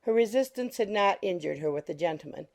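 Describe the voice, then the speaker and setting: A woman reading scripted literary narration for an audiobook in a measured, formal manner. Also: medium pitch, lively intonation, darkish tone.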